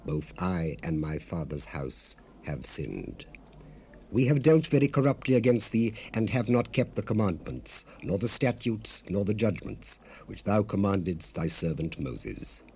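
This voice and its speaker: An actor playing a devout, humble Old Testament cupbearer in a dramatized scripture recording, speaking with solemn, measured, formal fervor. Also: male voice, sits low, sing-song, a little mellow, full character voice. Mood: fervent pleading. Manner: solemn, fervent, measured, formal archaic delivery. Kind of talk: dramatized scripture reading, 1970s audio drama. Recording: noisy unprocessed cassette rip.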